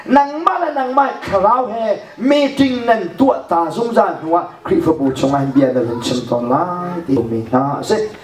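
Middle-aged guy preaching, pretty high voice, lively and laughing a lot, handheld mic, echoey hall from far off. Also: unhurried. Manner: animated, gesturing with one hand, often laughing. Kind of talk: sermon. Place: church hall with a projection screen.

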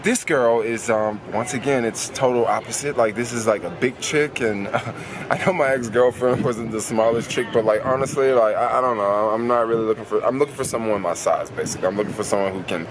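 A man's voice: mid-range voice, a little fast, crowd noise. A young American man chatting casually and slangily to camera as he vlogs, rambling and repeating phrases for emphasis. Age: young adult. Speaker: vlogger. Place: outdoors on city streets. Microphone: camera held at arm's length. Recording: noisy urban street ambience.

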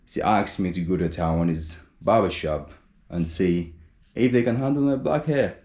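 An upbeat young man talks straight into a camera held at arm's length, with a slightly low voice. He swings from loud hype to quiet mumbling asides. The small room around him rings with echo. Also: moderate pace. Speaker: vlogger. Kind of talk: casual vlog talk to camera.

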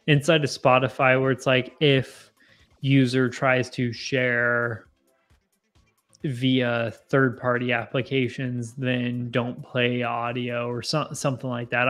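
Young male livestream host, rambling and self-deprecating, close boom mic, faint music underneath. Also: a bit bright. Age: 20s. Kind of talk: casual live chat with viewers. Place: home bar with bottle shelves, indoors. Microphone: boom mic in front of face.

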